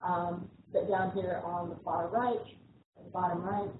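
A woman lectures on bees in a relaxed way, pausing on ums and restarting phrases. Her fairly low voice sounds thin and distant, as if caught from across an echoing room, with a faint hiss underneath. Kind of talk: slide lecture. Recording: echoey, tinny, distant room recording.

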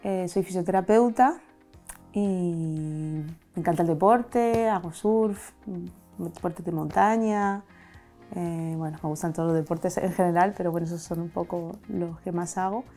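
A woman in her thirties from Madrid with a Castilian Spanish accent, speaking candidly and reflectively in an interview, seated on a sofa in a bright studio with a lapel mic. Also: fairly low pitch, unhurried, soft background music. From Madrid, Spain. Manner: reflective, open, gesturing with her hands. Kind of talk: candid personal testimony.

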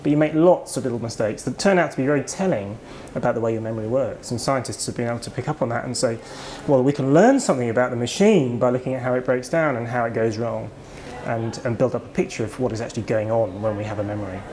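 British man, 40s, author interviewee, considered explanations, soft room noise. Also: medium pitch, quick pace, few pauses. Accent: British English. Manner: thoughtful, explanatory, with restarts. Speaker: author and psychologist. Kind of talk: interview answers. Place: kitchen shop, indoors.

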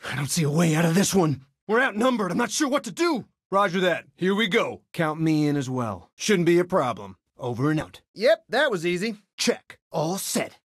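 An actor playing a cocky, friendly young resistance pilot in a video game, breezy and wisecracking. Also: male voice, high voice, sing-song, normal speed, hardly any pauses, husky, no room sound, clean studio sound, exaggerated voice. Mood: excited, urgent, then confident, easygoing. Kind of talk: video game character voice clips.

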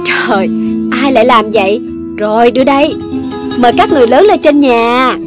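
Casting: patient, motherly woman for kids' animation, high register acted by a woman, music bed. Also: normal speed, close to an everyday voice. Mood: gentle, teaching. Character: gentle, patient, amused adult woman, a mother. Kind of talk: children's animated cartoon voice acting. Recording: music under the voice.

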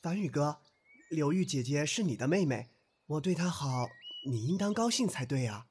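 A man's voice: on the high side, expressive, moderate pace, a little mellow, breathy edge. An actor in an audio drama playing a naive, proud young swordsman from the ancient past, earnest and courtly in his wording. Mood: innocent, earnest.

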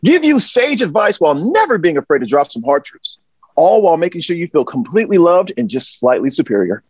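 A man performs a slick, upbeat pitchman in a satirical script. His voice is fairly high for a man and very lively, with a husky edge. The sound is close and dry. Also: a little fast, no echo, highs cut off. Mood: upbeat, persuasive. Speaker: actor in a table read. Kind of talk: theatrical sales pitch. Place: small indoor storeroom, video call.

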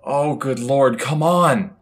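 A man's voice: mid-range voice, slow pace, close to an everyday voice. A man playing an impatient, blunt space traveler in an audio drama, sounding exasperated.

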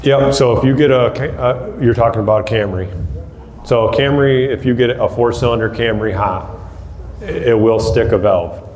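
A man's voice: medium pitch, moderate pace, a little mellow. A man in his thirties giving a practical, plainspoken talk to a small audience, with frequent ums and restarts, recorded by a camera across a large hall. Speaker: demolition derby builder giving a seminar. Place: large hall with rows of empty chairs, indoors. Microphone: far camera across the room. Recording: echoey hall, faint background hiss.

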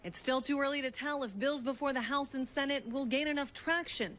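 A woman's voice: high-pitched, a little fast, a bit bright, a little hiss or hum. A woman with an American accent narrating a scripted news report as a correspondent.

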